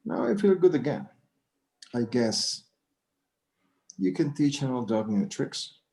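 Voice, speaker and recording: Casting: male actor as elderly Mexican-American rancher dad, stubborn yet tender, widely swinging intonation, halting, bits of Spanish, screen drama. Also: medium pitch, animated, dark tone. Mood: reflective, gentle. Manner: halting, repeating words, dropping in Spanish. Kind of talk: screenplay table read acting.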